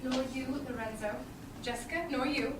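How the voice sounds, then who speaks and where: A woman's voice: high voice, normal speed, lightly acted. A woman playing a witty, self-possessed noble lady in a staged Shakespeare reading. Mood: composed, wry. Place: small theatre stage, far audience camera. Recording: echoey stage from far off, noisy.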